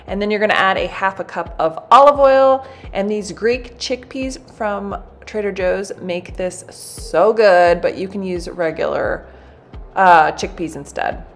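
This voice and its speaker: A woman in her thirties, a cooking channel host, chatting brightly through a recipe in a home kitchen. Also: mid-range voice, unhurried. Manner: bubbly, chatty, addresses viewers as "you guys". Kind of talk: recipe demonstration, voice-over and to camera.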